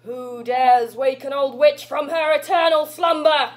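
A woman's voice: high-pitched, measured pace. A woman voicing a stern, cryptic old witch granny who guards the dead, speaking in archaic, foreboding phrases. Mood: stern, forbidding.

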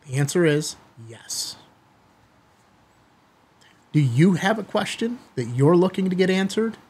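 A middle-aged man hosting a hobby video, giving a product demonstration to camera in a husky voice. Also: on the high side, a little mellow. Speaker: host of a ham radio video channel. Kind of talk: product test demo to camera. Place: small indoor studio room.

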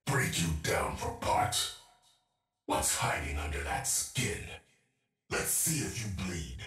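A paranoid, undead cyborg killer from a video game. The robotic voice is sharp and slow, lurching between menacing mutters and unhinged outbursts. It sounds echoey, with music behind it. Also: male voice, low voice, expressive, recorded from a distance, heavily acted. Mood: cold, menacing. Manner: clipped, unhinged muttering swinging into shouts. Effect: robotic voice filter.

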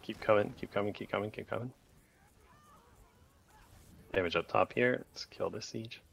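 A man leading a raid group over voice chat, calling short commands in rapid repeated bursts with countdowns. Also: lowish voice, creaky, a bit bright, husky.

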